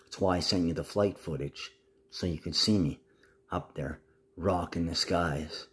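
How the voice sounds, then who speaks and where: A man's voice: low voice, measured pace. A man talking casually to the camera in a rambling, agitated monologue full of swearing.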